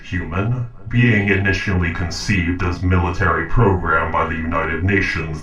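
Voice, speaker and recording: Guy doing a earnest robot captor for audio roleplay, really deep, polite n precise, sounds distant and echoey. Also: fairly bright tone, lo-fi sound. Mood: earnest, insistent. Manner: formal, measured, polite, precise. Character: calm, earnest, logical young sentient robot captor. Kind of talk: scripted audio roleplay drama.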